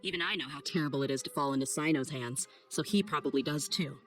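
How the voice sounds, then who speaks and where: An actress playing a confident, easygoing, tough mercenary in a video game, with a breezy, informal, streetwise manner. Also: female voice, sits low, quick pace, soft background music, natural voice. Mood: brisk, satisfied. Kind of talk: casual video game dialogue acting.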